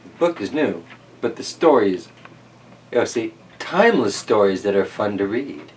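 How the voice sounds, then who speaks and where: A man hosting a children's story reading, mixing casual, easygoing chat and musing asides with animated storybook narration. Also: on the low side, normal speed, far from the mic.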